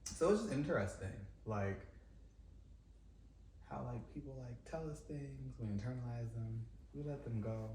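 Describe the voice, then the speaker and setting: A man hosting a podcast, talking in an expressive, playful way. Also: medium pitch. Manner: expressive, playful, quick to laugh. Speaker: podcast host. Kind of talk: casual conversation. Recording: echoey room, mic at a distance, faint noise.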